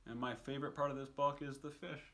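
A man's voice: mid-range voice, steady tone, fast, fairly bright tone. A man in his thirties reading a picture book aloud expressively for children, with casual asides. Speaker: story time reader. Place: indoors on a couch.

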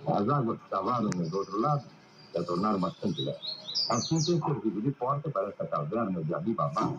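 An actor with a Brazilian accent playing a methodical, observant police inspector, deliberate and theatrical in an old radio play. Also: male voice, fairly low pitch, very animated. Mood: observant, grave. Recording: dull noisy radio speaker, ambient birds.

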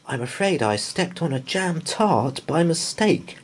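A man's voice: on the high side, animated, unhurried, a little hiss or hum, pitched above the performer's own voice. A man voicing a polite, earnest young bear in a storybook. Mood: apologetic.

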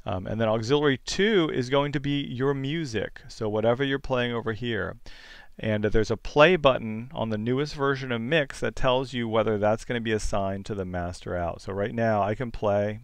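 A man giving a casual, easygoing software tutorial as host, thinking aloud as he goes. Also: mid-range voice, moderate pace, smooth, no echo. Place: indoors at a computer, screen-recorded demo. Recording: music bed playing behind the voice. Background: music.

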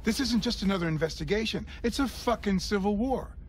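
A man's voice: high-pitched, brisk, a little hiss or hum, lightly acted. A middle-aged man with an American accent playing a stern, exasperated police captain in a video game, firm and blunt. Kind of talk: cinematic video game drama.

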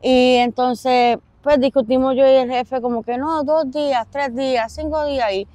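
A young woman with a Puerto Rican accent giving personal testimony in an interview, halting and pausing mid-sentence. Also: sits high, fairly even intonation, dark tone, dry recording. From Puerto Rico. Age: young adult. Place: outdoors in an open park.

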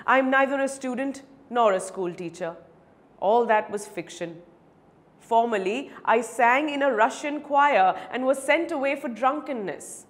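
A woman's voice: a little high, animated, pitched above the performer's own voice. A woman voicing a ragged, humble male beggar in a dramatic story reading, pleading and evasive. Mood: resigned, humble. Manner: plaintive, halting when challenged.

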